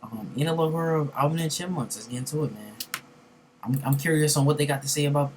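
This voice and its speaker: A man's voice: fairly high pitch, fast talker, a little mellow, soft background music. A young man giving casual, slangy, profane reaction commentary as host of a reaction video. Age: young adult.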